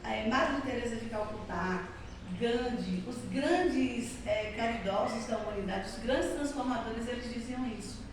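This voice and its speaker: A woman's voice: fairly high pitch, unhurried. A middle-aged woman from Salvador with a Bahian accent, giving an earnest spiritist lecture that leans on repetition and tag questions. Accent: Bahian Brazilian Portuguese. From Salvador, Bahia, Brazil. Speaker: spiritist speaker. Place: spiritist center hall. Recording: echoey hall from far off, noisy.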